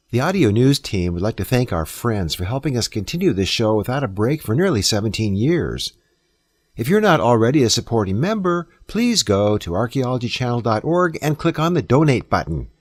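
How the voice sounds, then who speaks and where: Husky, animated male announcer. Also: medium pitch, no echo. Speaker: programme host and announcer. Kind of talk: scripted announcement.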